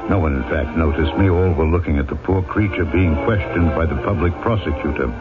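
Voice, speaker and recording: An actor playing a tormented reformed convict turned mayor, grave and deliberate, in an old-time radio drama. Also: male voice, a bass voice, music in the background. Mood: grave, reflective. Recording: tinny old radio recording.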